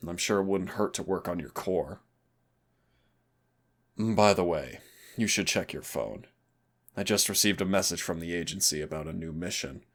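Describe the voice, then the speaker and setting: A man playing a centuries-old demon hunter, teasing and rebellious yet wistful and protective, in an intimate audio roleplay with a gravelly voice. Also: moderate pace, pauses often, a bit bright. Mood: teasing, encouraging. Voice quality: very low, gravelly creaky voice. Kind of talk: intimate second-person audio roleplay acting.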